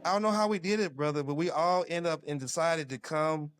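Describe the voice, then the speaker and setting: A man in his fifties, a former football player, telling old team stories in a lively, laughing way. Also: on the high side, fairly quick, smooth. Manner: animated storyteller with laughs. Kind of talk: casual reminiscing conversation.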